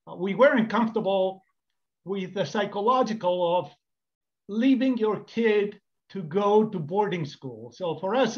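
High-voiced man from Greece, candid. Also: lo-fi sound. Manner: reflective, candid, with many fillers. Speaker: parent on a panel. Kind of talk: panel discussion.

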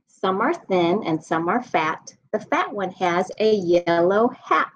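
A woman in her thirties reads a children's story aloud in an expressive, deliberate sing-song, indoors on a webcam microphone. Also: mid-range voice. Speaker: school staff nutritional specialist reading a children's storybook. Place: indoor room at home. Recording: dull lo-fi webcam sound.

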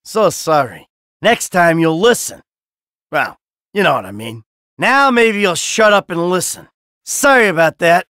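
A man's voice: sits high, very animated, measured pace, bright, forceful tone, no echo, clean studio sound, heavily acted. A man playing a cynical, short-tempered middle-aged American criminal in a video game, with a blunt, sardonic tough-guy delivery. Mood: dry, menacing.

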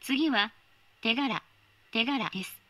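A woman giving polite, formal automated train announcements in a measured voice. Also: fairly high pitch, bright, forceful tone.

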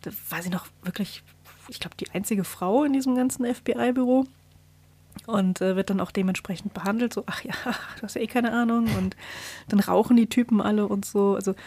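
A woman's voice: medium pitch, fairly quick, a little mellow, slightly rough. A woman speaking casually as a podcast co-host, retelling things in a colloquial way. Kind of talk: casual chat.

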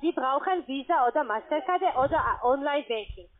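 Female call agent, high voice, halting foreign phrasing, telephone audio. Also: lively intonation. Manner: halting non-native German phrasing. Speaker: telephone sales caller. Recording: narrow-band phone line.

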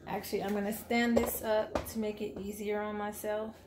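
A woman's voice: medium pitch, flat delivery, moderate pace. A woman in her forties, chatting upbeat to her viewers in a casual grocery haul vlog, filmed at arm's length in her home kitchen. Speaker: vlogger. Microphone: camera at arm's length. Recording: echoey kitchen, faint room noise.

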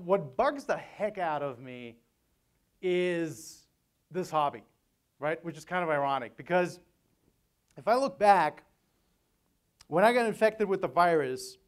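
Male seminar speaker, 40s, clear resonant voice on the higher side, conversational and joking. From Vienna, Austria. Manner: conversational, joking, often tags sentences with "right?". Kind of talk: informal conference talk. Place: hotel meeting room, on stage at a lectern. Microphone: lapel mic.

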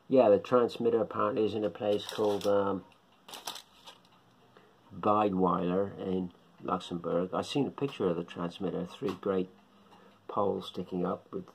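A man's voice: low-pitched, level tone, a little slow, gravelly, pauses often, a little hiss or hum. A middle-aged man, talking casually to camera in a vlog, rambling and musing aloud and repeating himself. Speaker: shortwave radio hobbyist vlogger. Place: small indoor living room. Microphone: phone or webcam at close range.

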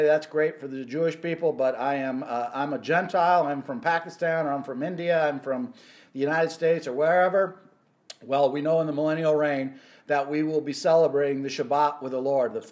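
Middle-aged male ministry host; earnest, halting delivery with many fillers; prophecy teaching, close phone mic indoors. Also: medium pitch. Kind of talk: weekly Bible prophecy teaching. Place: indoor office or home room.

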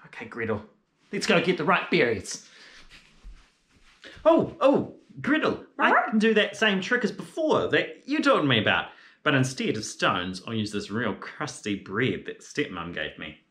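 A man's voice: mid-range voice, sing-song, measured pace, bright, forceful tone. A young man playing an eager, naive, easily spooked little boy in a children's fairy tale, with a theatrical, wide-eyed manner. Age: young adult. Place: living room. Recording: echoey indoor room.

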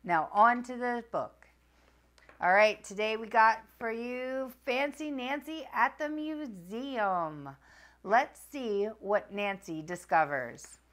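A woman in her thirties hosting a children's storytime, reading aloud in an expressive, sing-song storytelling manner. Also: on the high side, slow pace. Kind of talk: children's picture book read-aloud. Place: small furnished room at a wooden table, indoors.

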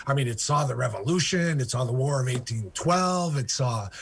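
A man's voice: mid-range voice, lively intonation, normal speed, creaky, a bit bright, a little hiss or hum. An older man in his sixties, an author guest, telling a local history story over a video call with lots of ums and uhs. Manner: enthusiastic, many ums and uhs. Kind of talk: remote interview storytelling.

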